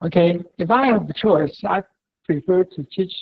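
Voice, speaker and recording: A lecturer from Taiwan whose first language is Mandarin gives a classroom lecture in English. They pause and restart often and close many points with a checking "okay?". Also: mid-range voice, gravelly, phone-quality sound, a little hiss or hum. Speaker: university lecturer.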